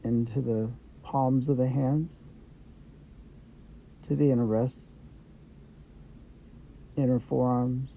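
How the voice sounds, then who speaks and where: A man leads a guided meditation at a very measured, slow pace, leaving space between short phrases. His instructions are gentle and unhurried, in a mid-pitched voice. The recording is somewhat dull and lo-fi, with light noise behind him. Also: steady tone, vocal fry. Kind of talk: guided meditation, Yoga Nidra. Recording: dull lo-fi audio with background noise.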